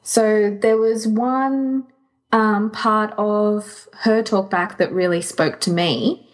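A woman with a medium-pitched voice talks as a podcast co-host. Her delivery is casual and conversational, like informal chat between co-hosts. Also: steady tone, slow.

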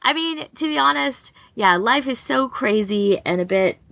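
A woman's voice: a little high, lively intonation, moderate pace, gravelly, fairly bright tone, dry recording. A woman podcast co-host chatting casually and informally as she gives recommendations, often breaking off and rephrasing. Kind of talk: casual chat, giving recommendations.

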